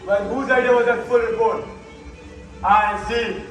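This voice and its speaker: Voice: medium pitch, fairly even intonation, measured pace, fairly bright tone, lightly acted. A student actor playing a pompous, defensive town mayor in rhymed verse on stage. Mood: defensive, flustered. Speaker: student actor in school play. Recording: echoey school hall from far, music behind.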